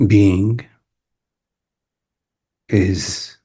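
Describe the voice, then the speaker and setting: A man guides a meditation in a low, very husky and breathy voice. His delivery is mellow and contemplative, broken into short phrases with long silences between them. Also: level tone, extremely slow. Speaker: meditation teacher. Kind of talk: guided meditation, spoken softly to listeners.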